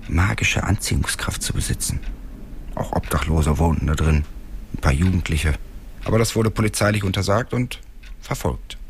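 An actor playing an older local man in a radio drama, telling town lore in a deliberate, knowing way. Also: low voice, a little hiss or hum.

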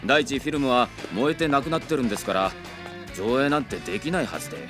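A man's voice: medium pitch, animated, fast, music in the background, lightly acted. A man playing a puzzled, diligent junior investigator in a radio drama, reporting politely and matter-of-factly. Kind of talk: Japanese radio drama acting.

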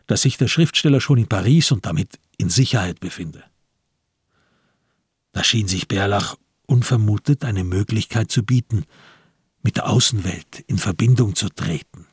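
Husky, low-voiced male radio drama narrator. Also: no echo, studio quality.

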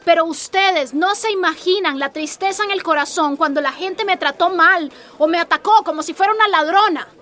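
Radio drama: actress as anxious but principled mother, strikingly high bright voice, heartfelt. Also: moderate pace, few pauses. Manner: earnest, emotional. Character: worried, compassionate migrant mother standing her ground. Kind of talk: Spanish-language radio drama acting.

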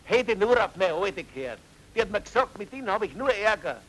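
Nervous Viennese husband, high bright voice, evasive, blustering, repetitive dialect, old radio play. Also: male voice, very animated, a little fast. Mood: indignant, blustering. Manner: agitated, repetitive, colloquial dialect. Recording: faintly noisy old radio drama recording.

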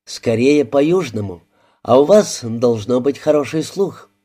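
Male reader doing a composed, politely teasing young woman in a storybook; mellow, dry irony. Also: medium pitch, animated, unhurried. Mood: wry.

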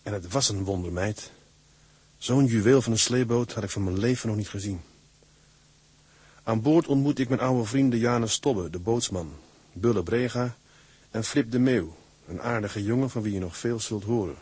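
A seaman telling his story as a letter to his wife in a radio drama. His voice is low and husky, recorded very dry. He narrates with affection. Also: normal speed, darkish tone, dry recording. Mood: fond, storytelling.

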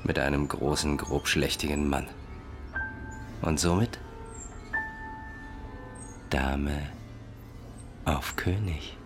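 An actor playing a coldly scheming killer doctor in a German radio crime drama, brooding in murmurs and whispers. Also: male voice, extremely low pitch, fairly even intonation, very slow, long pauses, music in the background, exaggerated voice. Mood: brooding obsession.

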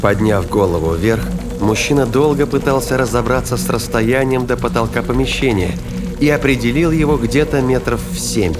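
A man narrating a scripted audio drama in a measured storytelling manner. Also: mid-range voice. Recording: narration over loud music and effects.

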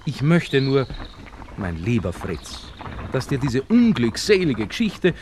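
An actor playing a protective, worldly young Viennese gentleman who lectures his friend genially and insistently in 1960s radio drama style. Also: medium pitch, huge pitch swings, slow pace. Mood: earnest, worried. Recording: noisy old radio play with outdoor ambience.

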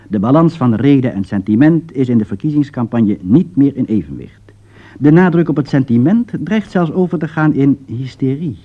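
A man with a Dutch accent narrates in a dull, old radio recording. His medium-pitched voice swings widely as he delivers formal, emphatic commentary in a measured 1960s broadcast manner. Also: husky. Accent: Dutch, from the Netherlands.

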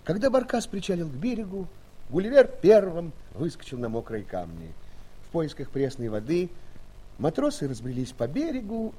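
An actor telling a sea adventure tale as the theatrical narrator of an audio play. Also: male voice, fairly high pitch, dark tone, slightly rough, low-quality recording. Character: storyteller of a sea tale, doubling as ship's captain. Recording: vintage mono record, music behind.

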